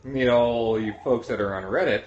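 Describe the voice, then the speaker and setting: A man's voice: mid-range voice, fairly quick, darkish tone. A man streaming from home, casually trash-talking a rival in a blunt, mocking way. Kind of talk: casual trash-talk over a livestream. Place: indoors at home. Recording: roomy, dull sound with faint music.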